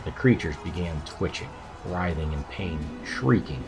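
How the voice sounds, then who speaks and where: A man's voice: deep voice, animated, a little slow, music in the background. A man giving a scripted narration of a fantasy audiobook as its narrator.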